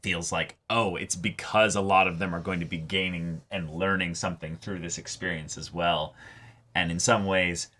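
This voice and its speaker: A man's voice: low voice, fairly even intonation, normal speed, sharp tone. A man co-hosting an anime reaction talk, rambling casually and acting out characters' lines.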